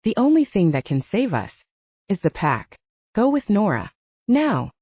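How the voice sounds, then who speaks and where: A young male werewolf, voiced by a woman gives protective orders in audiobook dialogue. The delivery is urgent and animated, with a mellow tone. The sound is slightly dull. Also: mid-range voice, measured pace, husky, no room sound. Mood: urgent, commanding. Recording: dull, band-limited audio.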